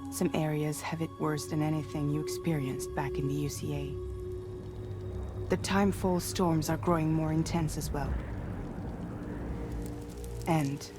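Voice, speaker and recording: A composed woman leader in a cinematic game, her voice low. She speaks in a measured, grave and earnest way, pausing with purpose, over prominent background music. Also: fairly even intonation. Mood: grave, explanatory. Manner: measured, deliberate, grave, with pauses. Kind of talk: cinematic video game cutscene dialogue.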